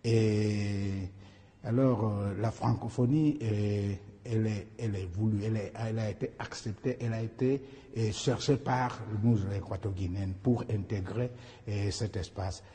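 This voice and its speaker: A middle-aged man from Equatorial Guinea, Fang his first language, answers questions in a slow, halting way, stopping and restarting often. His voice is low and very mellow, and a long hall reverb trails behind it. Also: fairly even intonation. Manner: halting, many pauses and restarts. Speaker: candidate being interviewed. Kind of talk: interview answers.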